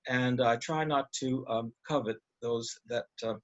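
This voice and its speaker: Casting: man, reflective panelist, careful hesitant phrasing, mid-pitched, slightly muffled video-call sound. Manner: measured, hesitant, careful wording. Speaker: panelist, organist. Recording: dull, lo-fi video call audio.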